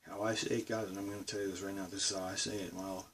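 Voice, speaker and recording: A man's voice: low voice, flat delivery, very fast, a bit bright, distant mic, a little hiss or hum. A man with a Boston accent rambling casually to his camera from his bedroom studio. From Massachusetts, USA. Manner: rambling, meandering talk. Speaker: vlogger.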